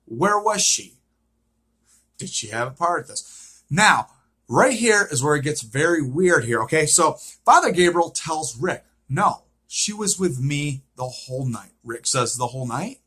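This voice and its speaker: Forceful, husky-voiced man recapping a show. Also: a little high, expressive, measured pace. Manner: repetitive, checks in with frequent "okay?". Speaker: vlogger recapping a TV series. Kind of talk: unscripted episode recap, casual retelling.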